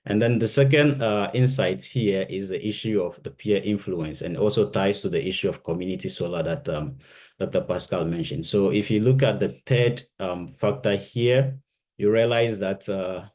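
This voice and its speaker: A man from Ghana in his thirties, a postdoctoral researcher giving a measured conference presentation with frequent "uh" pauses. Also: low voice, darkish tone.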